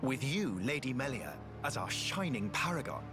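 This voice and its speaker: A man's voice: mid-range voice, big pitch swings, normal speed, over music, lightly acted. Florid, fawning courtly guardsman acted by a man. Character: obsequious, scheming ex-royal guardsman, silky and haughty. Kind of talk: video game voice acting.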